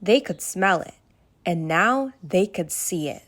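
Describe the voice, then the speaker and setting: A woman narrating a bedtime story aloud for children in an expressive way that speaks directly to young listeners. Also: lowish voice, slow.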